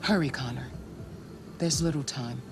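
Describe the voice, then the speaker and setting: An actress playing a cool, composed female handler who speaks in a measured, authoritative way, with game music behind. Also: extremely low pitch. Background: game score music.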